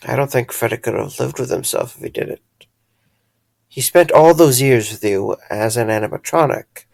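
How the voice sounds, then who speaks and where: A man's voice: mid-range voice, normal speed, gravelly, darkish tone, dry recording, close to an everyday voice. A man playing a caring, dryly wry friend in an audio drama, earnest and a little hesitant. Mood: concerned.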